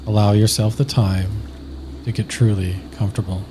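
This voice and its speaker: A man narrating a scripted guided sleep meditation slowly and soothingly, with long pauses. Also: lowish voice, little pitch movement, noisy background.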